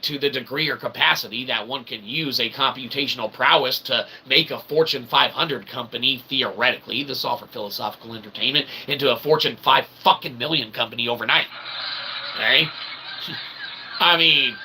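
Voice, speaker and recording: Guy ranting off the cuff like a self-styled philosopher, real sharp bright voice, bit gravelly, wordy and pompous. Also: medium pitch, a little hiss or hum, rough recording. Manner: pompous, convoluted archaic phrasing; punctuates talk with "okay" and "all right". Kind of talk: rambling off-the-cuff monologue to the audience.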